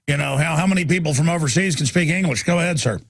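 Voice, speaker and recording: Gravelly, husky man hosting call-in radio. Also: fairly high pitch, quick pace, no echo, clean studio sound. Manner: emphatic, rambling, repeats words. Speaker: radio talk show host.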